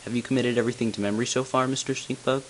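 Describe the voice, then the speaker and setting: A man playing a tall, solemn bell ringer who reveals long-kept secrets in grave, deliberate narration for a game. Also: medium pitch, steady tone, natural voice. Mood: solemn, explanatory. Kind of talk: scripted character narration, amateur game voice-over. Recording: hissy, noisy amateur home recording.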